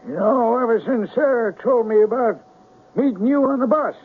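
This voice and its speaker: An actor playing a suspicious old retired sheriff, stern and folksy, high and husky, in a 1970s radio drama. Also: male voice, normal speed. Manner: stern, folksy, giving orders. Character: suspicious, commanding retired small-town sheriff, an old man. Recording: narrow-band 1970s radio recording.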